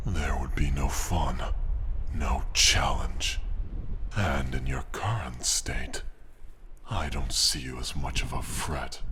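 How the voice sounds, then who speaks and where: An extremely deep, breathy dragon in a fantasy ASMR roleplay. He is haughty and menacing, rumbling out condescending taunts at a slow, deliberate pace. Faint noise sits behind the voice. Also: pushed and bright, exaggerated voice. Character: menacing, haughty male dragon, mocking and possessive.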